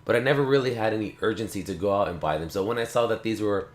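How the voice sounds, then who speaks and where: A relaxed man with a slightly low voice rambles through a casual tech unboxing, circling back and repeating himself. He has a slight cough. The sound comes from an echoey room. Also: very fast. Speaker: tech channel host. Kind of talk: casual unboxing talk to camera.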